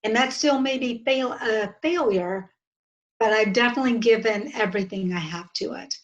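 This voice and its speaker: A woman of about fifty with an American accent, talking earnestly and haltingly as a guest in an interview, often restarting her sentences. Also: a little high, dull audio. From southern United States. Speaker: podcast guest. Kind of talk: reflective interview conversation.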